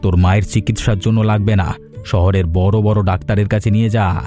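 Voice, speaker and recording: Smug wealthy cartoon villain, male actor, low-leaning and soft-edged, needling and haughty. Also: steady tone, a little fast, lightly acted. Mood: condescending, persuasive. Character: wealthy scheming village man, smug and condescending. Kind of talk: Bengali moral-story cartoon voice acting. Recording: music behind the voice.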